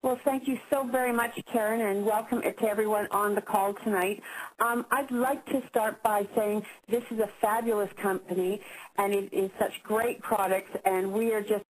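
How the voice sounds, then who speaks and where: A woman's voice: mid-range voice, fairly even intonation, moderate pace, slight background noise, rough recording. A woman speaking as guest speaker on a business call, effusive and full of praise. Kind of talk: warm introduction speech.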